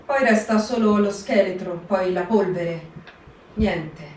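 A woman performing a dramatic reading for radio with a cold, steady recitation. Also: mid-range voice, slow. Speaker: actor in a staged reading. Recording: echoey stage reading from far off, faint hiss.

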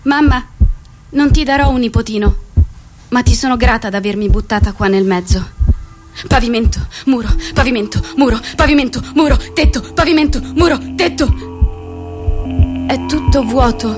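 An actress playing a restless, defiant young drifter in a rhythmic theatrical monologue. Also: female voice, on the high side, normal speed, lightly acted. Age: young adult. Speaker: stage actress. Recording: music under the voice. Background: stage music.

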